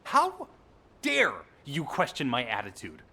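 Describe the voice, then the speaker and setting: An actor playing a cocky, boastful, prickly young hero in a video game, with a brash, showy delivery. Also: male voice, mid-range voice, huge pitch swings, slow, gravelly, pushed and bright, slightly rough, exaggerated voice. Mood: irritated, defiant.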